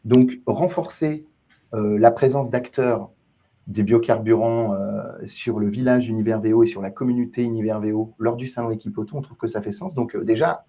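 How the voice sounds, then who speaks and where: A man's voice: fairly low pitch, mellow, husky. A man hosting a podcast at a trade show, welcoming a guest and posing questions with a friendly promotional patter and some fillers. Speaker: podcast host.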